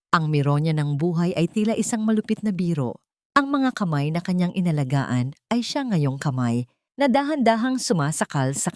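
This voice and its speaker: A woman's voice: fairly low pitch, a little mellow, no echo, studio quality. A woman reading a scripted dramatic audio story as its narrator.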